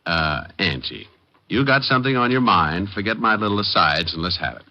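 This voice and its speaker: An actor playing a breezy, wisecracking hardboiled private detective with sardonic, slangy patter in old radio drama style. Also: male voice, low-pitched, expressive, brisk. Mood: wry, teasing. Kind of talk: 1940s-50s radio detective drama acting. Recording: muffled vintage radio recording.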